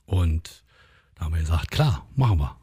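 A man from Berlin with a Berlin accent, a musician guest chatting in a relaxed way with many hesitations. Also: deep voice, moderate pace, slightly rough. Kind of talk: interview chat.

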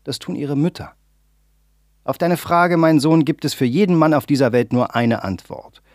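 A steady, commanding mother, put on by a male reader whose voice sits higher than his own. She speaks in measured, deliberate sentences, and the sound is dry and close. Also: no echo, lightly acted. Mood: firm. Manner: firm, measured, instructive. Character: calm, proud mother lecturing her grown son.

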